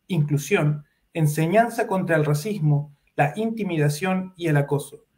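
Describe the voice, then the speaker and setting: Thirties male host, reads a formal guest introduction, slightly high voice, headset on a video call. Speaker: event host. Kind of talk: scripted introduction. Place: home office with bookshelf.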